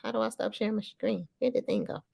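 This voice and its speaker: A woman's voice: medium pitch, quick pace, gravelly, a little mellow, breathy edge, no room sound, clean studio sound. A woman hosting a festival livestream over a video call, bubbly and enthusiastic. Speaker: festival host. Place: New York City.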